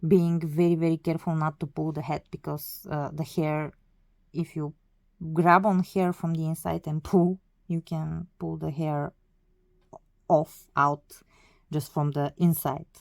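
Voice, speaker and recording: A woman's voice: low voice, level tone, measured pace, creaky, a little mellow, dry recording. A woman whose first language is not English, explaining a hobby process in a casual, hesitant way with many fillers and self-corrections. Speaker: doll collector. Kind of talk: casual tutorial.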